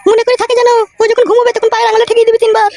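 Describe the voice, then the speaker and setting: Casting: actress as bossy older cartoon mother-in-law, high and quick, insistent. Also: flat delivery, a little mellow, a little hiss or hum. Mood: scheming. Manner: instructing, insistent. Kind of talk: comic cartoon dialogue.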